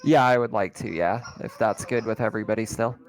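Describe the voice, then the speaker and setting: A man's voice: lowish voice, brisk, faint music behind. A man running a tabletop game as game master, chatting casually with the players after a session. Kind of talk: casual post-game chat.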